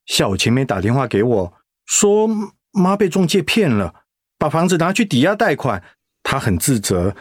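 An actor playing a defensive, exasperated eldest son in a radio play. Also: male voice, medium pitch, sing-song, moderate pace, husky, no room sound, clean studio sound. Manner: hushed then exasperated, arguing.

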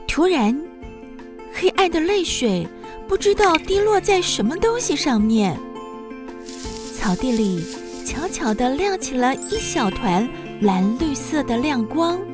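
A woman tells a children's story as narrator, gentle and dramatic. Her fairly high voice swings widely in pitch. She takes her time with each phrase, with music clearly playing behind her. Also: very animated, slow.

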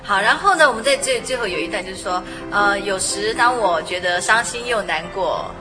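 A woman's voice: medium pitch, expressive, brisk. Woman radio host over music. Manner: prompts with questions and echoes the guest. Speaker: radio host interviewing a guest songwriter. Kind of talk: conversational radio interview. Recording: music behind the voice.

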